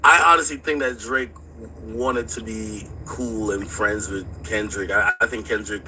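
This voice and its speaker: A man's voice: on the low side, fairly even intonation, unhurried, noisy background. A man in a casual, slangy, profane debate, insistent and pressing his points with rhetorical questions. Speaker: debater in a discussion about rap.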